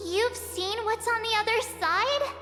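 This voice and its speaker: High-voiced young girl adventurer. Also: a little slow, sharp tone, exaggerated voice. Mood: uncertain. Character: earnest plucky young girl adventurer, loyal to friends. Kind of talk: video game voice acting. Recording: long reverberant hall, music underneath.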